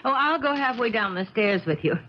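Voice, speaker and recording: A woman playing a frank, animated friend. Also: a little high, a little fast, close to an everyday voice. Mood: breezy. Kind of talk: 1970s radio drama acting. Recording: crackly, dull 1970s radio recording.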